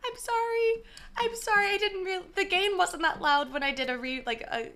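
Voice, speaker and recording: A young woman in her twenties chatting casually on a gaming live stream, her voice slightly hoarse and worn, talking from a small room into a mic set far from her with the gain turned up. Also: extremely high pitch, moderate pace, fairly bright tone. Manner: chatty, apologetic, greets viewers by name. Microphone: desk mic set far from her, gain turned up.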